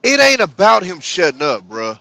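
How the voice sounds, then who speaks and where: A man in a loose streamed group chat makes his case bluntly, leaning hard on key words. His voice sits high and sounds tense and bright. The sound is dry and close. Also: huge pitch swings, vocal fry, dry recording, low-quality recording. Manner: blunt, emphatic, slow on key points. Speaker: panelist. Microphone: headset or desk mic on live stream.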